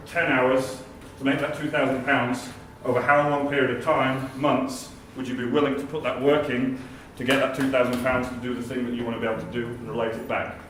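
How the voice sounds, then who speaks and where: A man's voice: medium pitch, a bit bright. A man giving a sales coaching talk at a workshop, acting out both sides of calls. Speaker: workshop speaker. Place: large echoey event hall. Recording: echoey hall, distant mic, faint hiss.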